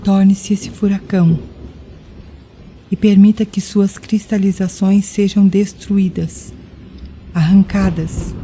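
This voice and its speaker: Very dark-voiced Brazilian woman guiding meditation. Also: mid-range voice, slight background noise, low-quality recording. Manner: slow, deliberate, soothing delivery with pauses. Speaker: meditation guide. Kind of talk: guided meditation narration. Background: music with rain and thunder sounds.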